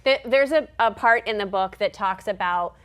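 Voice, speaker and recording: A woman's voice: mid-range voice, normal speed, a bit bright. A woman with an American accent hosting a talk show, interviewing in a casual, agreeable way with short affirmations.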